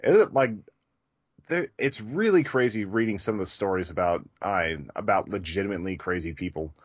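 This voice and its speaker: A man with a low voice and a dry, echo-free sound. He talks as a podcast co-host, rambling loosely through casual conversation. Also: expressive, normal speed, muffled recording. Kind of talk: casual chat.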